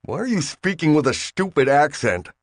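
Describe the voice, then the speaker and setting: A man's voice: a little high, very animated, normal speed, dry recording, clean studio sound, voice pushed up. A man doing a flustered young farm boy. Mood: exasperated. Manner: pleading and exasperated. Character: bewildered, desperate young farm boy prisoner.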